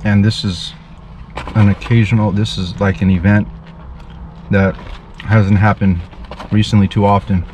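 A man's voice: on the low side, flat delivery, darkish tone, a little hiss or hum. Earnest preacher man vlogging in a car. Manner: slow, earnest, pious, slightly formal. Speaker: street preacher. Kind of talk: casual vlog with preaching asides. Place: parked car, indoors. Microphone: dash camera in front of him.

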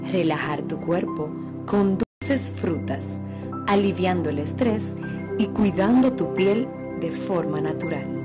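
Female spa ad voice, very slow, lower pitch, soothing, loud calm music under. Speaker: ad announcer. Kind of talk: spa advertisement voice-over.

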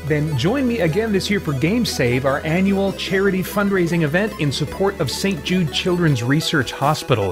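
A man in his thirties delivering scripted promotional narration as a host. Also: fairly high pitch. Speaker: host, fundraiser organizer.

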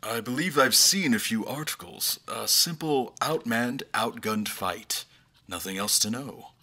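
A male actor voices a scholarly doctor in a fantasy audio drama. The voice is raspy and sharp-edged at a medium pitch. The speech is formal, earnest and a little hesitant, deferring to a superior. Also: unhurried. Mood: modest, cautious.

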